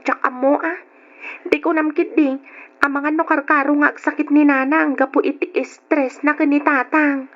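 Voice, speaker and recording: A woman's voice: high-pitched, flat delivery, normal speed, fairly bright tone. A woman playing a young, hardworking, tender and anxious daughter in an old radio drama, with an Ilocano accent. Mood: weary resolve. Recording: dull noisy old radio recording.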